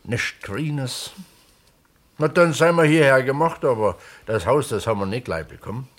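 An actor playing a modest, middle-aged villager who talks plainly and haltingly in a naturalistic radio play. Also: male voice, mid-range voice, fairly bright tone, natural voice. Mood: candid. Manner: halting, plain-spoken, hesitant. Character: modest, thoughtful resettled villager who wrote a novel. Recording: 1970s East German studio radio drama.

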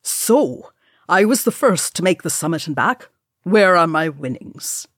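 A woman's voice: on the low side, animated, a little slow, fairly bright tone, no echo, studio quality. A woman voicing a young male dwarf warrior in a storybook reading, hearty, bragging and teasing. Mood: cheerful, boastful.

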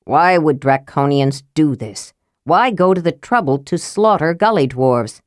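A woman's voice: low voice, lively intonation, measured pace, dry recording, studio quality. A woman voicing a troubled, stern half-elven male leader in a fantasy audiobook, serious and questioning.

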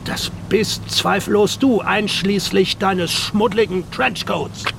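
An actor playing a gloating, mock-friendly Armenian nationalist bomber in a crime radio drama, theatrical and taunting. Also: male voice, sits high, takes it slowly, vocal fry, heavily acted. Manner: theatrical, taunting, falsely jovial. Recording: outdoor vehicle noise behind.

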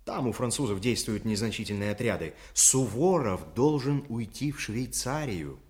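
Actor as a scheming, insistent statesman, mid-pitched. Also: animated, normal speed, darkish tone, close to an everyday voice. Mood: cold, calculating. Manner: insistent, emphatic. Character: scheming Austrian statesman, commanding and calculating. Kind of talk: Soviet radio drama acting.

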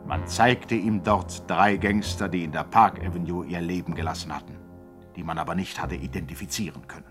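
An actor playing a wry, theatrical storyteller narrating a 1960s German crime comedy on radio. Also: male voice, deep voice, a little fast, over music, close to an everyday voice. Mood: mock solemn.

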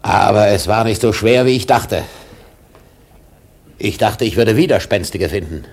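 An actor playing an obsessed, domineering old whaling captain in a radio drama, gravelly and declamatory with brooding pauses. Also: male voice, lowish voice, lively intonation, darkish tone. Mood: grim triumph. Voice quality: gravelly, husky. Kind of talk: German radio drama acting.

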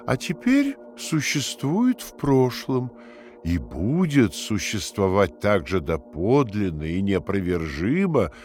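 An actor voicing a solemn proclaimer of invented party history in a radio drama. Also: male voice, on the low side. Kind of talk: dramatic radio play narration.

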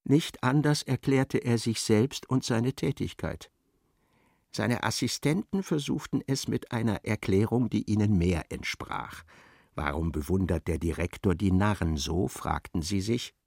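A man narrating a literary radio play in a measured, precise and dramatic way. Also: on the low side, animated, gravelly, a little mellow, breathy edge, no room sound, studio quality.

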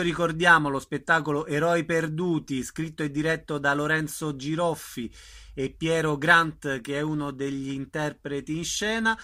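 A man's voice: on the high side, pushed and bright. A man hosting a radio interview, putting questions to guests in a conversational style.

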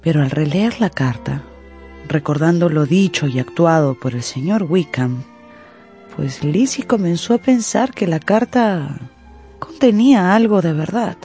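Casting: female narrator for a period radio novel, expressive literary delivery over background music. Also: deep voice, measured pace.

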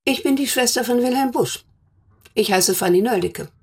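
An actress playing a stern, protective older sister in a German radio drama, speaking bluntly and formally, as if interrogating, with a husky, gravelly voice. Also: female voice, on the high side, moderate pace, darkish tone. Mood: cool, firm.

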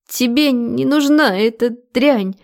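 A tearful, deceitful young woman from an audiobook melodrama, acted out by a female narrator. Her speech is high, whiny and drawn out, with a clingy, pleading manner that tips into hysteria. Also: slow pace, vocal fry, a little mellow. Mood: desperate, pleading.

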